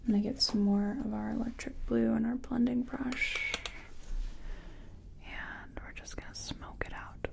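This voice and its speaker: A woman playing a nervous makeup artist in an ASMR roleplay, speaking in a breathy whisper and murmuring hesitantly to herself as she works. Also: a little high, steady tone, unhurried. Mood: focused, unsure. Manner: murmured, hesitant self-commentary while working. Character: nervous female makeup artist competing in a contest. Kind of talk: soft-spoken ASMR personal attention roleplay.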